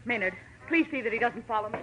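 A woman playing a brisk, suspicious noir lady in a 1940s radio drama, crisp and impatient. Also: a little high, background noise, natural voice. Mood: cold, impatient. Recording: dull old radio recording.

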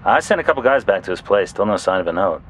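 An actor playing a matter-of-fact police detective in an audio drama, clipped and businesslike. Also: male voice, deep voice, sing-song, fast.

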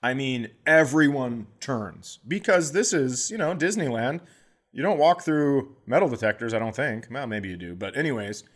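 A middle-aged comedian hosting a podcast, with a voice that sits in the middle of the male range. He tells stories with lots of energy and slips into quick impressions of other people. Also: medium pitch. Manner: animated anecdote-telling, mimicking others in quotes. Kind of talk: comic storytelling chat.

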